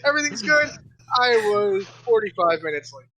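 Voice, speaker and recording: Lively woman with voices behind her. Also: on the high side, moderate pace, lo-fi sound, background noise. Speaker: podcast panelist. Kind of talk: casual chat about video games.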